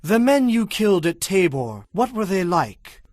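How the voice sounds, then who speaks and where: An actor playing a resolute, stern Israelite war leader in a dramatized audio Bible, with emphatic, declamatory delivery. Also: male voice, high-pitched, sing-song, measured pace, a little mellow, no room sound, studio quality. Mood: grim.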